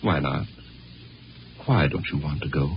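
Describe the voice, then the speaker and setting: An actor playing a jealous, controlling Martian husband in 1950s radio drama, curt and probing. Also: male voice, very deep voice, a little slow, vocal fry, exaggerated voice. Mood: irritated. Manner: probing, curt, bad-tempered. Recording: noisy, dull 1950s radio recording.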